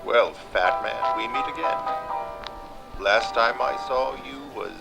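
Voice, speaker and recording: An actor playing a cynical ex-fighter pilot hero in 1940s film noir style, terse and sardonic. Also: male voice, medium pitch, slow, creaky, over loud music, low-quality recording. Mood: sardonic, bitter.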